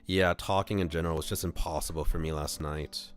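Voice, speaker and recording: A low-voiced man hosts a casual livestream, drifting between loose, halting chat and reading aloud from a visual novel. Soft music plays behind him. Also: steady tone.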